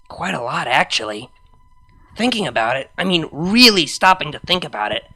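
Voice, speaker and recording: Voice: medium pitch, sharp tone. A reader voicing an anxious, lovestruck young female pony DJ in a hesitant, introspective first-person fan fiction monologue. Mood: guilty, frustrated.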